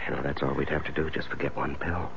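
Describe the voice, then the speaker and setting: An actor playing a troubled middle-aged lawyer in a 1970s radio drama, hesitant and deferential. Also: male voice, very deep voice, fairly even intonation, fast talker. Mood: weary, subdued. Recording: dull, noisy 1970s radio recording.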